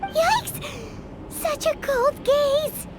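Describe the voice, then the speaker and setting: A woman voicing a tiny, excitable fairy companion in a video game, with a squeaky childlike voice and a perky, exaggerated, cheeky way of talking. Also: very slow, heavily acted. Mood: animated, dramatic. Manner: perky, exaggerated, refers to self in third person. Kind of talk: English dub video game voice acting. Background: game music.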